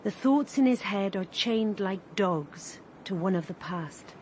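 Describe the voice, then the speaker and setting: A woman narrating a radio drama in a measured storytelling way. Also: medium pitch, lively intonation, a little mellow, slight background noise. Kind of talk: scripted narration.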